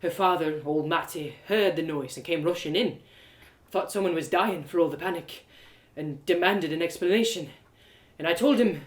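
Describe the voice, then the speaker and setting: A woman acts out a wounded young man in an audio story. He is brooding and bitter, telling of old hurts with anguish. The voice sits low, in an echoey room. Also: moderate pace, natural voice. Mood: agitated. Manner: brooding, anguished, matter-of-fact. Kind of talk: dramatic audio story acting. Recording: roomy, echoey live reading.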